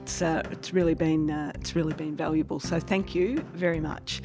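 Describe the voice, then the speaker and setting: Encouraging podcast host, halting delivery, faint music bed. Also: mid-range voice, steady tone. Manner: hesitant, encouraging. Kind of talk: podcast interview.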